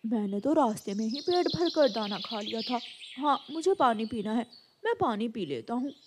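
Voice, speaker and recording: A woman plays a devoted father bird in a children's cartoon. The voice is high and gentle, that of a selfless protector of the family, over faint noise. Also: animated, moderate pace, natural voice. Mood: gentle, reassuring.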